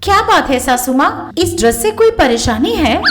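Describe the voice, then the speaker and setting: Woman putting on a showy young daughter-in-law, very high-pitched. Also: sing-song, moderate pace, over music. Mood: wheedling. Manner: affected, wheedling, self-important.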